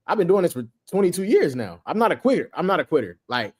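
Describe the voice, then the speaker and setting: A man with a fairly high voice co-hosts a relaxed sports show, his pitch swinging up and down a lot. He jokes around but digs in on his point, piling up repeated words. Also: fast, slightly rough. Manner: joking, insistent, repeats himself. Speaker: podcast co-host. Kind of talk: casual sports chat.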